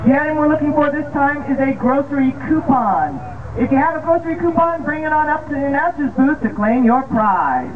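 A far-off, tinny loudspeaker carries an American woman's voice across a noisy outdoor ballpark. She is the stadium announcer, reading scripted lineups and promotions with measured, formal phrasing. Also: high voice, animated. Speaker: stadium public address announcer at baseball games. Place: outdoor baseball field, heard over the PA system.

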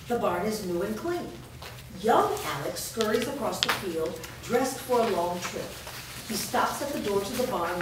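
A woman reads stage directions as scripted narration. She is recorded from far off in a very echoey room, with clear background noise. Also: mid-range voice. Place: bare indoor room with small audience. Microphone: far camera. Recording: echoey room, distant mic, noisy.

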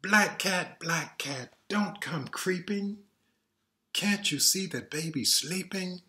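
A man reading aloud as a mother who hushes noisy animals, in a sing-song rhyming chant. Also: high voice, lively intonation, slow pace, pitched above the performer's own voice, full character voice. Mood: soothing chant. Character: gentle mother hushing animals near her baby.

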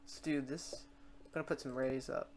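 A tutorial host talks casually through software steps, thinking aloud and correcting themselves along the way. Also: medium pitch, steady tone, moderate pace, a little hiss or hum. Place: voice-over on a screen recording.

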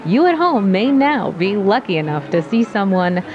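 An actress playing a composed, brisk television news anchor in an audio drama. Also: female voice, medium pitch, very animated, over music, natural voice. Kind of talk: news reading. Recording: dull TV broadcast sound.

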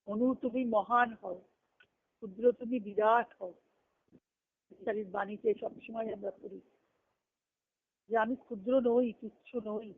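A woman giving an earnest, emphatic devotional discourse over a conference phone line, reciting scripture and verse and pausing between short phrases. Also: a little high, fairly even intonation. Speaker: spiritual discourse speaker. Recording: tinny band-limited conference phone call.

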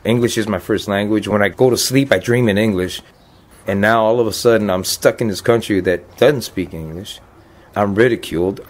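A man's voice: fairly low pitch, normal speed. A man in his fifties, a deported veteran, speaking plainly and earnestly about his life in a documentary interview. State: choked up.

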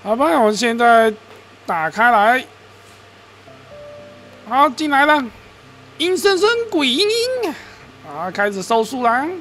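A man with a fairly high voice talks casually over a screen recording of a game. He rambles and corrects himself as he goes. He sounds mildly exasperated, and soft music plays behind him. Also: very animated, slow pace, pauses often. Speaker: gaming and crypto-mining video host.

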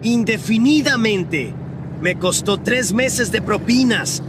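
A man's voice: high voice, animated, slow. A man voicing a furious, exasperated adult cartoon adventurer who scolds indignantly. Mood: outraged. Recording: loud car engine noise behind.

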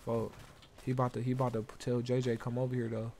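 A man's voice: mid-range voice, completely flat delivery, fast talker, mellow, a little hiss or hum. An American man streaming improvised gang roleplay, bossing his crew around in repetitive street slang.

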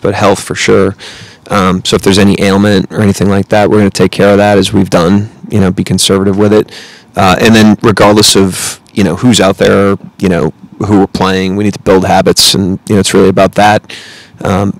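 A man in his thirties, a head coach answering questions at a post-game press conference, measured and analytical with frequent fillers, speaking into a close table mic. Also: low voice, no echo, rough recording. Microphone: table mic close in front.

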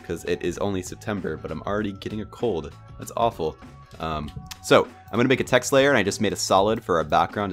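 A young man with a stuffy, congested voice from a cold, talking through a software tutorial at his computer in a relaxed, rambling way with lots of ums and uhs. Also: sits low. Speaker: tutorial host. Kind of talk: casual screen-recorded software tutorial.

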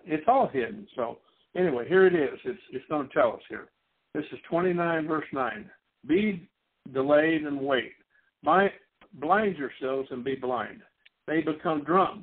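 A man from Missouri teaching the Bible in a sermon style, folksy and rambling, with frequent restarts and fillers. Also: a little high. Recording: husky voice over a tinny phone-like line.

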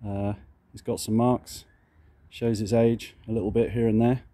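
Low, flat-voiced man showing his bikes. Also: moderate pace, a little hiss or hum. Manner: plain, matter-of-fact, hesitant with fillers. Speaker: motorbike owner showing his collection. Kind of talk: casual walkaround narration. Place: outdoors on a driveway.

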